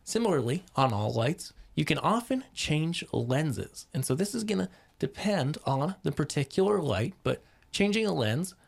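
Hoarse man with a gravelly voice. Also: a little high, moderate pace. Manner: relaxed explainer with many ums and restarts. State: hoarse from a cold. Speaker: podcast host. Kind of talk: casual lighting podcast talk.

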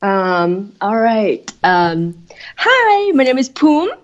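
A woman's voice: mid-range voice, very animated, drawn-out words, lo-fi sound. A woman in her thirties from Thailand with a Thai accent, chatting casually as a podcast guest, with lots of fillers. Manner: chatty, with many fillers and non-native grammar. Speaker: guest graphic designer. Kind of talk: casual interview chat.

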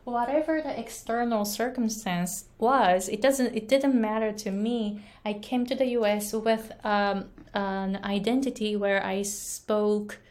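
Japanese-accented woman, unhurried coaching vlog, earnest and chatty with "right?" tags. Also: medium pitch, steady tone, darkish tone. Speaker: English pronunciation coach. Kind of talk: casual motivational talk to camera.

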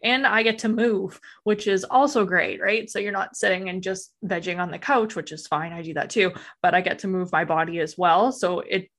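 A woman's voice: mid-range voice, moderate pace, few pauses, fairly bright tone. A woman from Alberta with a Western Canadian accent, chatting informally and ramblingly as a podcast guest. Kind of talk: casual interview chat.

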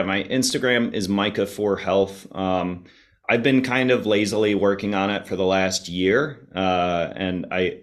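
Deep-voiced man, slightly hoarse. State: slightly hoarse, mildly congested. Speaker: podcast guest, health enthusiast. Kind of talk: casual conversational interview.

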